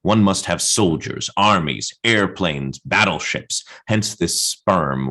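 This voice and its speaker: A man's voice: rumbling low, fairly bright tone, full character voice. A man performing a solemn, biting orator in a theatrical recitation for an avant-garde radio play.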